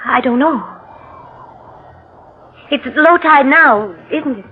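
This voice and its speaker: A woman playing an anxious, suspicious young wife in 1940s radio suspense, speaking haltingly. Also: sits high. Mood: uneasy, probing. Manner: hesitant, halting, repeating words. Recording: muffled old radio recording over music.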